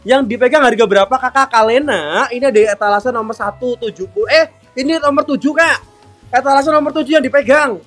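Chatty high-voiced man hosting live sales. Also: a little fast, soft background music. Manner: chatty and upbeat, constantly addressing viewers warmly. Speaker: live-stream sales host. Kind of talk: live-selling chat, reading out viewer comments.

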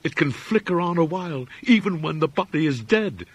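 A man's voice: lively intonation, normal speed, slightly rough, no room sound. A man voicing a terrified, weak-willed man in a horror audiobook, raving in an agitated, urgent ramble with a thin voice. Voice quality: thin, rising toward shrill. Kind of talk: dramatic audiobook character voice.

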